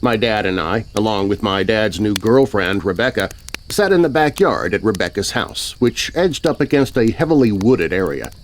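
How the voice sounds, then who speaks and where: A man's voice: on the low side, expressive, normal speed, slight background noise. A man narrating a scripted children's horror story. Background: music.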